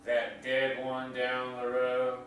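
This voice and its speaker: A man's voice: medium pitch, monotone, slow pace, fairly bright tone, distant mic. A man playing a shabby, whiskey-soaked, suspicious neighbor in a staged play reading, terse, folksy and needling. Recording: echoey room from afar, faint noise.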